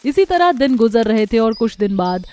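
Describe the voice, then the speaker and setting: A woman's voice: on the high side, very animated, moderate pace, darkish tone. A woman reading scripted narration for a cartoon family story.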